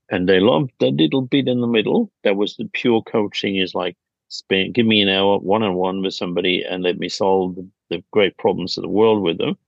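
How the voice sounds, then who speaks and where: Gravelly, low-voiced middle-aged man. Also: no echo, dull audio. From Denmark. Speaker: podcast guest, business founder. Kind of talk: conversational interview storytelling.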